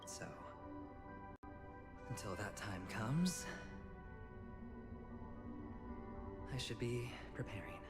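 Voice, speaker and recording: An actor voicing a doomed young man in a video game, gentle, nervous and devout, speaking in a soft, reflective, wistful way. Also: fairly low pitch, sing-song, slow pace, over loud music. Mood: quiet resolve. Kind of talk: dramatic video game voice acting.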